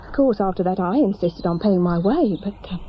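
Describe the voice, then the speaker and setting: Actress as chatty British shopkeeper, slightly noisy recording. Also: female voice, mid-range voice, expressive, brisk, muffled recording, natural voice. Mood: confiding, gossipy. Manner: chatty, confiding. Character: chatty, gossipy, sympathetic woman shopkeeper. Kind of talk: naturalistic radio drama acting.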